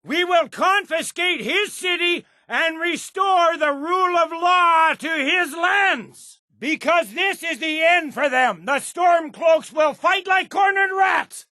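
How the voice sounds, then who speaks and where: A man playing a stern, proud, impatient middle-aged Imperial general in a video game, speaking with clipped, deliberate military authority. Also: very high-pitched, pushed and bright, dry recording, raised voice, studio quality, exaggerated voice. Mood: rallying, commanding. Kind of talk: scripted video game voice acting.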